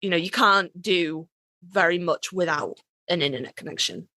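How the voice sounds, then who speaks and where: Bright-voiced woman, emphatic and sweary. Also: on the low side, moderate pace, dry recording, clean studio sound. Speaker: podcast co-host; author. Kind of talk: casual podcast chat.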